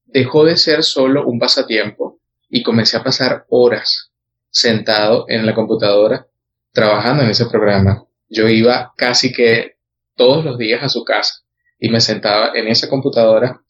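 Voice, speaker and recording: A man with a Venezuelan accent, a guest photographer telling his story in a relaxed way, with many hesitations and repeated words. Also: mid-range voice, moderate pace, dull audio, slight background noise. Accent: Venezuelan Spanish. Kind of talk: casual interview chat.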